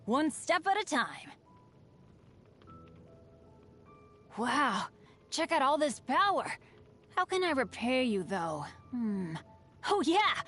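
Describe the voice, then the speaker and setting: A woman's voice: high voice, sing-song, takes it slowly, a bit bright, faint music behind, exaggerated voice. A woman voicing a cheerful, unlucky teenage boy adventurer for a video game, earnest, casual and humble. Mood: excited, upbeat. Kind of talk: video game character voice lines.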